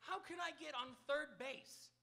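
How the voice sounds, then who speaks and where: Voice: high voice, measured pace, vocal fry, pushed and bright, full character voice. A performer playing an exasperated, confused baseball fan in a live radio comedy double act, with insistent questions that rise into indignant outbursts. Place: auditorium stage, live radio theatre production.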